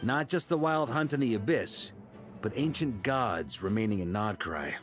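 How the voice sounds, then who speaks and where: Man voicing a steady knight commander. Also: lowish voice, normal speed, lightly acted. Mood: assured. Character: seasoned male knight commander, calm planner. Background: game music.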